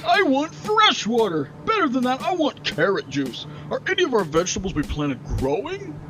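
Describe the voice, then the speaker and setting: Raccoon boss, male, childish, bossy, shrill, wildly swooping, music underneath. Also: wildly swooping pitch, normal speed, background noise, heavily acted. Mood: bossy demanding. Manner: shouty, whiny demands.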